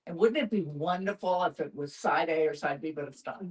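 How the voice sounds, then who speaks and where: A woman's voice: low-pitched, brisk. A woman speaking reflectively as a participant in a casual discussion. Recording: distant from the mic.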